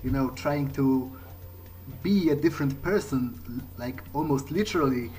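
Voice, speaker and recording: A man playing a bewildered, newly turned vampire in a video game, musing earnestly to himself in halting, hesitant phrases. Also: medium pitch, mellow, close to an everyday voice. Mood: pensive, troubled. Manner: halting, hesitant, searching for words. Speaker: video game player speaking in character. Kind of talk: in-character thinking aloud during a playthrough.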